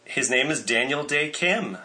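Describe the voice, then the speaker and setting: Bright-voiced man talking casually. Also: mid-range voice, expressive, a little slow. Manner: informative, enthusiastic, many "um" fillers. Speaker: podcast co-host presenting cast info. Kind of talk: casual chat.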